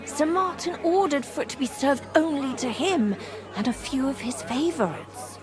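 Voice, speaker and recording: An actress playing a young medieval serving maid in a video game, coy and teasing. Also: female voice, sits high, expressive, moderate pace, music in the background, crowd noise. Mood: coy, guarded. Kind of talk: video game cutscene acting.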